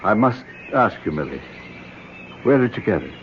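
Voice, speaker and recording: An actor playing an older archaeologist uncle, patient but stern, with a measured, admonishing manner in an old-time radio drama. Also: male voice, on the low side, big pitch swings. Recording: dull vintage radio recording, faint noise.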